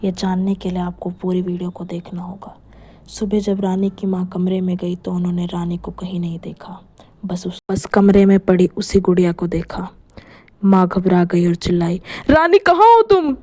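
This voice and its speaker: A woman's voice: fairly low pitch, monotone, normal speed, mellow, slightly rough. A woman narrator reading a scripted horror story.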